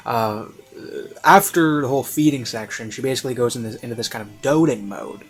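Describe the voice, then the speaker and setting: A man's voice: mid-range voice, moderate pace, rough recording. A man giving a casual, unscripted review in a rambling, hesitant way with lots of fillers. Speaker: online reviewer. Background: classical music.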